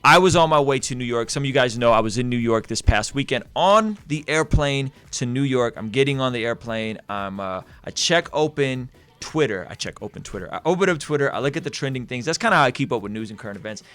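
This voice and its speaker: Energetic man hosting a live stream. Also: medium pitch, quick pace, hardly any pauses, clean tone. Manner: energetic, repeats words and restarts. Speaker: host.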